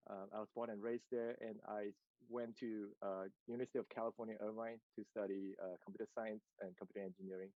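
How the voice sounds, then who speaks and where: A man from Malaysia, a games executive, answering interview questions over a video call in a soft, hesitant way with many pauses and fillers. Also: lowish voice, little pitch movement, no room sound. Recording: muffled video-call audio.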